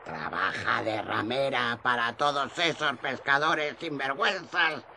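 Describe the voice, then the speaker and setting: A woman's voice: rumbling low, unhurried, bright, forceful tone, slight background noise, full character voice. An actress playing an older widow who sells pastries in a radio drama, lamenting, teasing and gossipy, with a cackle in her manner.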